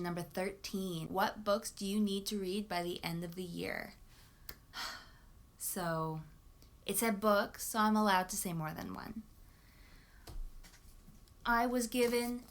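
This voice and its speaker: A young woman chats to camera in the manner of a book vlogger. Her voice sits at an average pitch for a woman. She is informal and expressive, correcting herself as she goes. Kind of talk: casual chat to camera about books.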